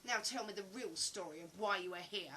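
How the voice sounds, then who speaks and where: A woman acting in an audio play as a sharp, suspicious woman who interrogates a stranger in an accusing, theatrical, easily indignant way. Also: medium pitch, fairly quick, heavy background noise, close to an everyday voice. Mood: taunting, probing.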